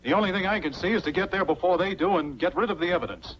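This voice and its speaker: A man's voice: sits high, fast, fairly bright tone, slight background noise. A male actor playing a bitter, desperate accomplice with a curt, accusing manner in a 1940s film noir. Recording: dull, band-limited 1940s film soundtrack.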